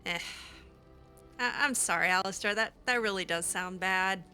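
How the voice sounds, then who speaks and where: Gamer lady talking in character, really bright voice, sarcastic and teasing, some music in the back. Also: mid-range voice, moderate pace. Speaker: gaming video host, roleplaying as player character. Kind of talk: casual in-character roleplay commentary.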